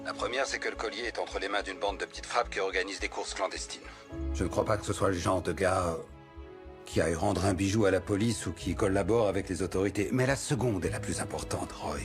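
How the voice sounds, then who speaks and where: A man's voice: deep voice, brisk, lightly acted. An actor playing a grave, commanding man lecturing an underling in French-dubbed film dialogue. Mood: grave, measured. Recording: prominent music under the voice.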